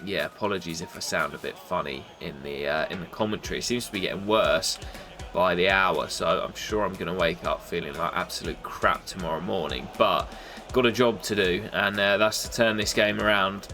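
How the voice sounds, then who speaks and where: A young man with a nasal voice from a cold, commentating his football game in a casual play-by-play that jumps up excitedly at big moments. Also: fairly quick, faint music behind. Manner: excitable, bursts up in pitch at goals and misses. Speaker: gaming YouTuber commentating his career mode.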